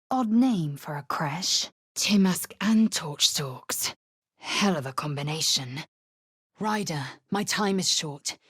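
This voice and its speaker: An actress playing a stern, proud, impatient alien warrior woman in a video game, husky and clipped in delivery. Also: deep voice, no echo, studio quality, heavily acted. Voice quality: husky, raspy. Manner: clipped, haughty, commanding. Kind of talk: video game character acting.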